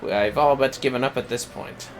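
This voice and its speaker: A man's voice: mid-range voice, moderate pace, faint music behind, lightly acted. A man voicing a pompous, irritable scholar from a fantasy game, reading the dialogue in a formal, wordy, haughty way. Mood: verbose, frustrated.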